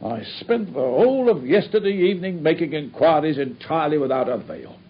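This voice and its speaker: An earnest police inspector. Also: male voice, a little high, animated, breathy edge. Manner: earnest, storytelling, brisk. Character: dogged male police inspector, earnest and proud. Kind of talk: radio drama acting. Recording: dull old radio recording with faint noise.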